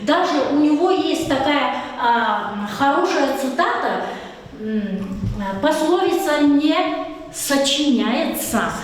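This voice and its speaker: A woman's voice: high voice, lively intonation, takes it slowly. Teacher lady, middle-aged, asks the kids stuff and praises them, really roomy and far from the mic. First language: Lezgian. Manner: asks the class questions and praises answers. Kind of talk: classroom lesson. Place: echoey classroom. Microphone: far camera. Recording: echoey room picked up from far off.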